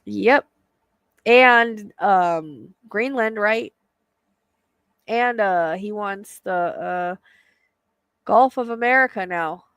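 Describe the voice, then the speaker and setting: Woman, soothing voice, chatty, hesitant fillers, mid pitch, dry close sound. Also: extremely slow, long pauses, a bit bright, smooth, no room sound. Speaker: psychic medium host. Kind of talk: casual livestream chat.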